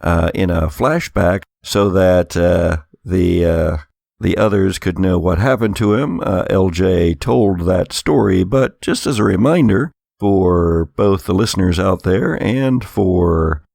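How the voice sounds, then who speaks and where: Deep, gravelly man with a scraped throat. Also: takes it slowly, a little mellow, no room sound, studio quality. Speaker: host and game master. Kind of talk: podcast hosting, unscripted. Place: home recording studio.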